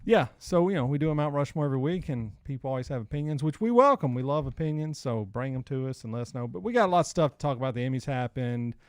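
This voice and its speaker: A man's voice: mid-range voice, expressive, quick pace, hardly any pauses, clear, resonant tone, no room sound. A man in his forties co-hosting a podcast with casual, slangy banter and rambling storytelling. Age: 40s. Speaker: podcast co-host.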